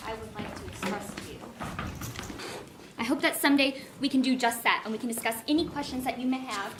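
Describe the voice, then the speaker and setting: A frustrated, insistent young woman, played by an actress in a stage play. Her voice is on the high side, heard from far off in an echoey space with clear background noise. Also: lightly acted. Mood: reading tenderly.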